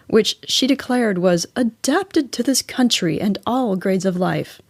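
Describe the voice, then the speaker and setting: A woman's voice: mid-range voice, vocal fry, no room sound. An American woman hosting a solo podcast, narrating in a chatty, scripted style with wry, informal asides. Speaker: podcast host.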